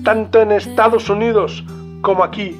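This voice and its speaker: A man from narrates a scripted mystery documentary in a solemn, dramatic manner with deliberate pauses. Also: high voice, fairly even intonation, over loud music. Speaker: podcast host narrator.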